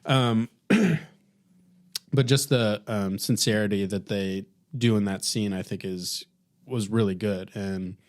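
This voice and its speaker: A man co-hosting a podcast, giving his opinions casually in a halting, understated way. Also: fairly low pitch. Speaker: podcast co-host. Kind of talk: casual TV review chat.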